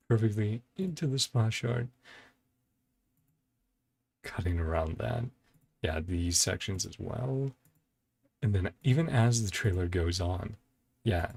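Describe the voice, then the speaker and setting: Low, gravelly man thinking aloud. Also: a little mellow. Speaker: reaction video host. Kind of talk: casual reaction commentary. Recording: faint music behind the voice. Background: faint music.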